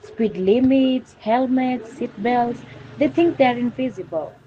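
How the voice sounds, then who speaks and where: A woman's voice: on the high side, takes it slowly, highs cut off, slight background noise. A woman playing an urgent, dismayed hospital doctor in a radio drama, speaking earnestly.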